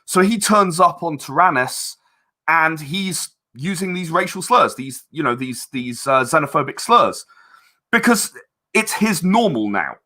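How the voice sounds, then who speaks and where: A man being interviewed as a guest, explaining his creative work in a casual, halting way and repeating words as he thinks. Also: a little high, animated, bright, forceful tone. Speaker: interview guest, audio drama director and voice actor.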